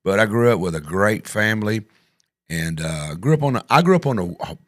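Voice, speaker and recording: A man's voice: on the low side, lively intonation, normal speed, fairly bright tone, no echo, studio quality. A man with a West Tennessee accent hosting a talk show, chatting in a folksy, rambling way. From Tennessee, USA. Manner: folksy, rambling, frequent "yeah" agreements. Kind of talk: casual chat.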